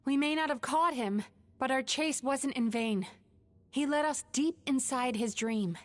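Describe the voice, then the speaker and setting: High-voiced, earnest young heroine. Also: female voice, a little slow. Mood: calm, explanatory. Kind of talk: video game voice acting. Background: background music.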